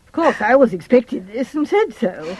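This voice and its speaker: A woman's voice: fairly high pitch, big pitch swings, moderate pace, darkish tone, slightly rough, no room sound. An actress playing a domineering, impatient older English mother and wife, snapping out imperious orders in a radio farce. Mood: grave, knowing. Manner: imperious, scolding, clipped orders. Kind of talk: stage farce acting for radio.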